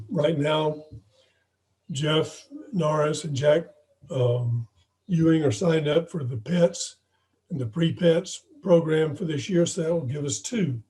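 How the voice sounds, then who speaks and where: A hoarse man, speaking haltingly and often clearing his throat, gives an informal report as club president on a video call. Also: a little high, a little mellow, poor audio. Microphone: video call microphone.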